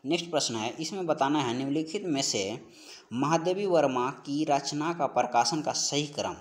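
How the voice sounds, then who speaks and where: A man's voice: a little high, normal speed. A man giving an instructional lesson as an exam-prep teacher, working through questions and repeating key points and answers. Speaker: teacher of Hindi for exam preparation.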